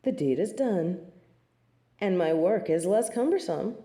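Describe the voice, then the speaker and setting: A woman performs a self-righteous female angel in an audio drama, looking down on everyone. The voice is low and mellow, with measured, imperious phrasing in a roomy, echoing sound. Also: animated. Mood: cold disdain. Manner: disdainful, imperious, formal speech. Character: haughty, merciless female angel of judgement, snobbish and self-righteous. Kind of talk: scripted audio drama acting.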